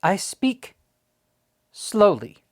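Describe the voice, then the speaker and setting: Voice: medium pitch, sing-song, drawn-out words, pitched above the performer's own voice. A storybook reader voicing a tiny, fierce warrior man who grunts short, clipped phrases. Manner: grunted, clipped broken speech.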